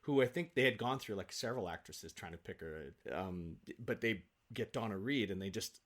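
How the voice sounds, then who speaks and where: A man in an interview, telling anecdotes with nerdy, animated enthusiasm. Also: on the low side, moderate pace. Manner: enthusiastic, self-confessed film nerd. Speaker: guest, stage actor.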